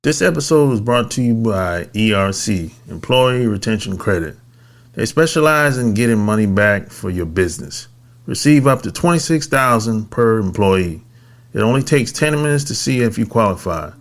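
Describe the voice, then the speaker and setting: A man hosting an interview podcast, reading sponsor spots and introducing his guest in a deliberate, emphatic announcer style. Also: on the low side, lively intonation. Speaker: podcast host.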